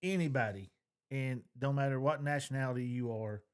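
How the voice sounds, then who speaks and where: A man's voice: medium pitch, a little fast, clear, resonant tone. A man with a mid-range voice talks as a podcast co-host in a casual fan discussion. He is informal and opinionated, speaking his mind bluntly.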